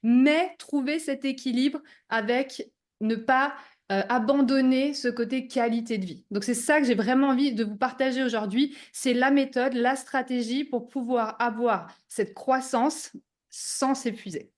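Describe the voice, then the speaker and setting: A young woman from Switzerland, a business coach, giving an energetic online workshop over a video call and mixing English business words into her talk. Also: high voice, unhurried. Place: remote video call from abroad.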